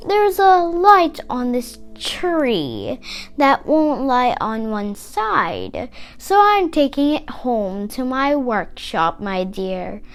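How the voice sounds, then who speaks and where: Storybook villain, male, sly and scheming played by a woman, very unhurried delivery, music playing behind. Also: high voice, big pitch swings, clean tone, exaggerated voice. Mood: falsely kind, sly. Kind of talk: children's storybook read-aloud.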